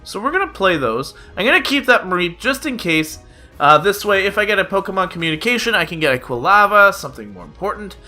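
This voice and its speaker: A man's voice: high-pitched, sing-song, fast, sharp tone. A young man giving chatty play-by-play commentary on a card game, slightly nasal from a cold, with lots of "uh" fillers. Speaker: gaming YouTuber. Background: background music.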